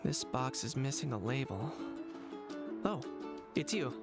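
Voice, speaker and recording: A man voicing a practical young hunter in a game who talks easygoing and matter-of-fact, over loud game music. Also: mid-range voice, big pitch swings, unhurried.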